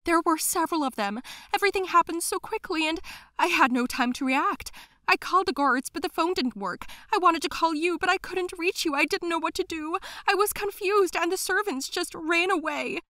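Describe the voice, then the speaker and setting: A woman voicing a scheming middle-aged nanny who talks high and flustered, evasive and then cold. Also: big pitch swings, moderate pace, fairly bright tone, no echo. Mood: shaken.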